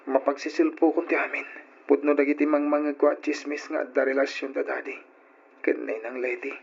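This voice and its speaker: A man's voice: fairly high pitch, flat delivery, moderate pace, creaky, breathy edge. A man with an Ilocano accent playing a troubled, earnest young son and older brother in old radio drama style. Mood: concerned. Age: young adult. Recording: dull lo-fi old radio recording.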